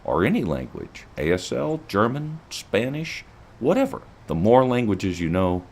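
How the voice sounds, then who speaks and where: Earnest man with a lively low voice. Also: unhurried, creaky, husky. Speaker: interviewee. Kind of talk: interview answers.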